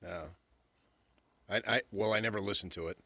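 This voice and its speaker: A man with a lowish, creaky voice co-hosts sports radio. He is blunt and contrarian in casual back-and-forth, and the sound is dry and close. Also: moderate pace, bright, forceful tone, dry recording. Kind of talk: casual sports talk banter.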